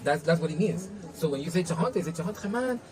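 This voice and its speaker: A man's voice: fairly high pitch, lively intonation, fast, a crowd in the background, rough recording. A man whose first language is Spanish, answering interview questions in an earnest, casual way, with many restarts and "you know".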